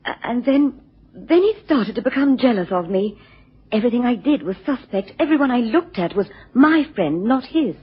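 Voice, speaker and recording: A woman's voice: fairly high pitch, lively intonation, moderate pace, slightly rough. An actress playing a distressed, genteel wife in a radio drama, hesitant and pleading as she seeks help. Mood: troubled, wistful. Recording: muffled lo-fi radio recording.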